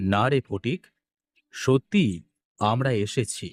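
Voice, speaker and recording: Casting: male actor as doubtful older-brother farmer, cartoon, mid-pitched, unhurried, plain-spoken, worried. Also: expressive, no room sound, clean studio sound. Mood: pleading. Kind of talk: Bengali comic cartoon acting.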